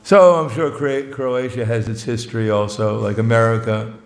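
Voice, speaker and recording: A man's voice: medium pitch, steady tone, normal speed. Chuckling man preaching in an echoey hall. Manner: joking, chuckles often between phrases; plainspoken, self-mocking. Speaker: visiting monk giving a talk. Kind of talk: informal sermon. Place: temple hall.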